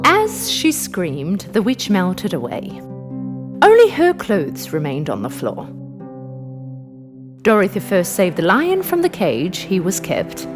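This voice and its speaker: A woman narrating a scripted children's fairy tale in an expressive, dramatic way. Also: medium pitch, slow pace. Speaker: storyteller, narrator. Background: music.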